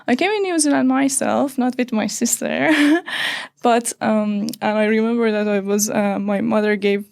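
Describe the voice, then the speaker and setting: A woman in her thirties from Iran, a native Persian speaker, talking in a hesitant, halting way with many fillers as an interview guest. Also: on the high side, creaky, a little mellow, no room sound. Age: 30s. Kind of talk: casual interview conversation.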